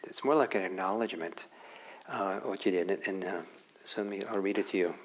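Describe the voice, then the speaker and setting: A lower-voiced man giving a meditation talk, unhurried and reflective. He speaks haltingly, often breaking off and restarting his phrases as he thinks aloud. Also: a little hiss or hum. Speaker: meditation teacher. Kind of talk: dharma talk. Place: meditation hall.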